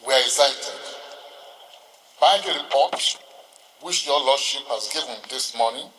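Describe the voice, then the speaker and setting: A Nigerian-accented man giving a formal ceremonial address as a senior lawyer, oratorical and emphatic, with deliberate phrasing and rhetorical repetition. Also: medium pitch, bright, forceful tone. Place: large courtroom hall. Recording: distant mic, long hall reverb.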